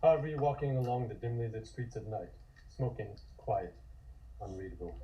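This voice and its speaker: Guy narrating a comic script, warm mellow voice, bit lo-fi. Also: on the low side, animated, normal speed, slight background noise.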